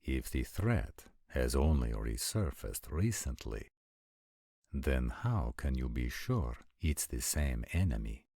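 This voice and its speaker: Male actor as protective ancient supernatural warrior for roleplay audio: extremely low voice, formal measured diction, dark tone. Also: very animated, gravelly, dry recording, studio quality, full character voice. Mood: concerned, probing. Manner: formal, measured, stilted diction with deliberate pauses. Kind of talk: scripted roleplay audio acting.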